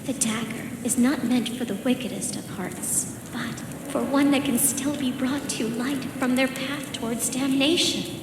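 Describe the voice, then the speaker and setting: A woman's voice: high voice, steady tone, measured pace, very noisy. Serene, echoing female guide. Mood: cryptic. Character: serene, cryptic female guide, wise and consoling. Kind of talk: video game character acting. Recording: echoing reverb, loud music behind.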